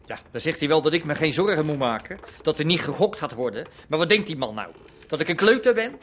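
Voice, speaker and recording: A man's voice: on the high side, big pitch swings, fast talker, fairly bright tone, slight background noise. An actor playing a cagey, scheming underworld man in a radio drama, protesting in colloquial, indignant speech. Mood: scheming, aggrieved. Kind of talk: naturalistic Dutch radio drama dialogue.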